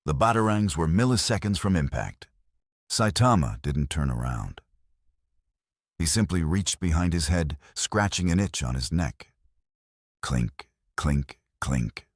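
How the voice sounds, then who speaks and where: A man narrates an action audio novel in a dramatic storytelling style. His voice is extremely deep and husky, with a lively pitch that rises and falls, and the recording is dry and close. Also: a little slow, dry recording.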